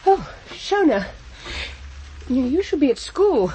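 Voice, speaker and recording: Anxious, high-voiced, faltering mother. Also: big pitch swings, slow pace, dark tone, a little hiss or hum, heavily acted, rough recording. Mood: distraught, pleading. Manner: hesitant, faltering, pleading. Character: anxious, flustered, grieving mother. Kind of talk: broadcast radio drama acting.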